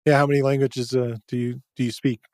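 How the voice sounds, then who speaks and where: A man's voice: medium pitch, level tone, fast talker, darkish tone, no room sound, studio quality. Friendly-sounding male podcast host. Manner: upbeat, affirming, asks open questions. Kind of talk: casual interview chat.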